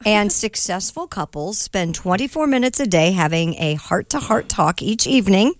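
A woman's voice: mid-range voice, expressive, moderate pace, no room sound, studio quality. A woman co-hosting a chat show, reading out news items and bantering. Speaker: co-host. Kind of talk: casual chat.

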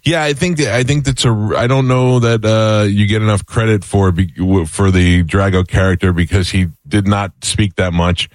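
Low-voiced, rambling male radio host. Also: fairly even intonation, normal speed, few pauses, no echo. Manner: rambling, self-deprecating, stumbling over words. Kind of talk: morning radio interview.

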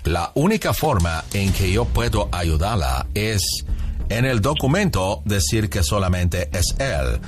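Guy doing a low-voiced immigration officer, hesitant, lots of ums, drops english phrases, music behind. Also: big pitch swings, measured pace. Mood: urgent. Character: formal male immigration officer, apologetic.

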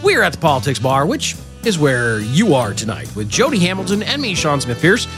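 A man co-hosting a talk show in casual, jokey banter, his voice gravelly from a cold. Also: on the high side, brisk, music in the background. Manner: jokey, rambling, lively. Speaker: co-host of a political talk show.

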